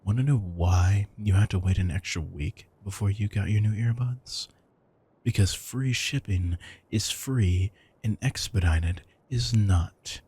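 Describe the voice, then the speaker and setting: A man playing a retired supervillain turned caring, thrifty house husband in an intimate audio roleplay, smug, dry and affectionate, with a husky, gravelly voice. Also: fairly low pitch, unhurried, mellow, full character voice. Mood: smug, fussy.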